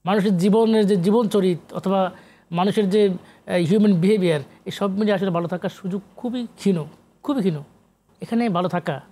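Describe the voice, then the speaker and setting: High-pitched man, emphatic interview guest. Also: a little mellow. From Comilla, Bangladesh. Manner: emphatic, repetitive, rhetorical questions, English words mixed in. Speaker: guest poet and researcher. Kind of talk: interview talk.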